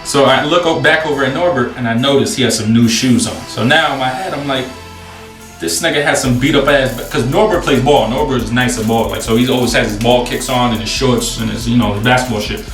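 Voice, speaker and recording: A man in his twenties with a New York accent, telling a story casually to camera in a slangy, profane, animated way. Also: mid-range voice, a little fast. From New York City, USA. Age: 20s. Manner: slangy, profane, animated retelling with acted-out dialogue. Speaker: host. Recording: roomy echo, distant mic, faint music.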